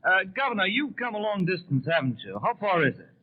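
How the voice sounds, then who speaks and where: A man's voice: high-pitched, very animated, fairly quick. An American man conducting live 1930s radio news interviews with formal, courteous questions. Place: convention hall broadcast room. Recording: tinny narrowband 1930s radio broadcast.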